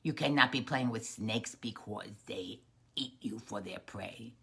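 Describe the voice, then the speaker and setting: A woman's voice: a bass voice, a little slow, creaky, a bit bright, slightly rough, pitched below the performer's own voice, heavily acted. A woman voicing a caring, protective mother frog with a motherly manner in a storybook tale. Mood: stern. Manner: motherly, admonishing.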